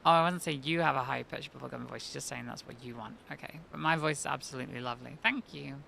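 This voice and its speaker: A woman streaming a video game live, chatting and reacting excitably to the game. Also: extremely low pitch, fast, a little hiss or hum. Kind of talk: live gameplay commentary. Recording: gravelly voice over clear game music.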